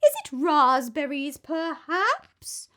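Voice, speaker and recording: Storybook bird, high-pitched, singsong, unhurried, polite, sweet and innocent. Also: female voice, sing-song, pushed and bright, heavily acted. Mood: hopeful, innocent. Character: sweet innocent trusting roly-poly jungle bird.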